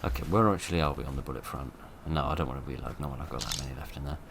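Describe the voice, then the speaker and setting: A man commentating his horror game playthrough in a chatty, wry way, with a voice that is getting hoarse. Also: rumbling low, rapid-fire, background noise. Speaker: gaming commentator. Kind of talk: let's play commentary.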